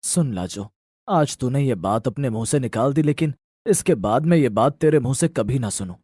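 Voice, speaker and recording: Man acting a humble farmer, mellow husky voice. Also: medium pitch, lively intonation, moderate pace, dry recording, studio quality. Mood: firm. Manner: earnest, resolute. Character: humble, devoted poor farmer, adoptive father. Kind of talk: dramatised Hindi moral story acting.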